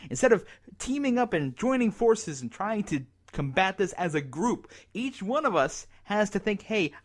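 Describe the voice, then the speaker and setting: An actor playing a bitter, despairing emergency radio broadcaster in a zombie apocalypse drama, halting, sardonic and self-berating in his monologue. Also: high voice. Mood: defeated, regretful. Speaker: radio announcer.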